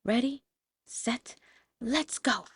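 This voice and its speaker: An excitable little superhero pig from a children's storybook, acted out by a woman. He is plucky and theatrical, speaking in exclamations, recorded close and dry. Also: on the high side, extremely slow, slightly rough, dry recording, pitched above the performer's own voice, exaggerated voice. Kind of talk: children's storybook character reading.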